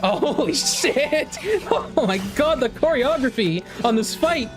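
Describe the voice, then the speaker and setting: A gaming content creator giving casual live reaction commentary, excitable and exclamatory, swearing freely. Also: high voice, unhurried. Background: trailer music behind the voice.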